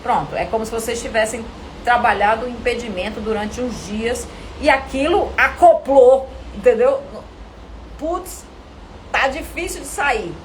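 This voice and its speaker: A woman with a Brazilian Portuguese accent coaching actors in an informal live lesson, animated and didactic, often asking questions and answering them herself. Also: on the high side, unhurried, slight background noise, poor audio. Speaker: acting coach teaching a live online class.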